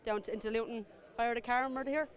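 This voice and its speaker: High-voiced southern Irish man chatting. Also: fairly even intonation, quick pace, some chatter behind. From Waterford, Ireland. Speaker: interview guest. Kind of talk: casual chat. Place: outdoors at a greyhound track.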